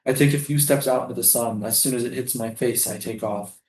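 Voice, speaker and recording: A man reading the part of a tender, defiant Palestinian refugee prisoner in a staged play, lyrical and deliberate. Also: medium pitch, fairly even intonation, a little mellow, lightly acted. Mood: wistful. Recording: roomy, echoing live stage reading.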